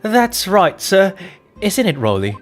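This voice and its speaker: Man doing a high-voiced proud young wife. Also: very animated, slow, soft background music, exaggerated voice. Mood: proud, polite.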